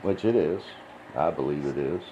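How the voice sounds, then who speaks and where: A man's voice: a bass voice, moderate pace, mellow. A man rambling in a casual, profane, folksy monologue, sounding tired and dry-mouthed. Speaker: crypto video host. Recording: dull lo-fi sound with faint hiss.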